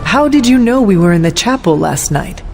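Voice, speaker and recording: Solemn, formal warrior hunter. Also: female voice, medium pitch, sing-song, over music. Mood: earnest. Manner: formal, measured, deliberate. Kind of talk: video game cutscene acting.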